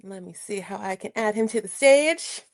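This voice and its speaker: A woman's voice: mid-range voice, expressive, moderate pace. A woman hosting her own podcast, chatting and laughing with a guest over a video call. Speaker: podcast host. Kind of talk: casual interview chat.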